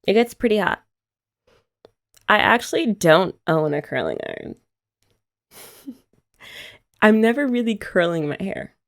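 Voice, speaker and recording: A woman's voice: medium pitch, expressive, measured pace, pauses often. A young woman doing a chatty ASMR girlfriend roleplay, explaining each step and teasing her listener. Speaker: ASMR creator.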